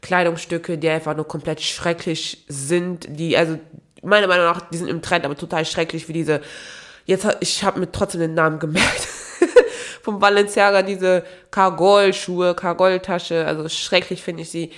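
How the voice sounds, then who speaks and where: A woman's voice: on the low side, steady tone, normal speed. A young woman hosting her own podcast, chatting casually with a nasal, stuffy-nosed voice, apologetic and rambling with many fillers. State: stuffy nose from a cold. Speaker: podcast host. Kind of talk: casual solo podcast chat.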